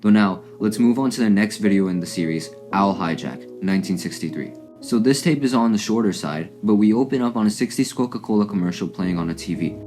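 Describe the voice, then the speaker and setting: Video essayist: male, low voice, scripted analytical recap delivery, music clearly underneath. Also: animated, a little fast, few pauses, a little mellow. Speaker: video essayist narrating a fan review.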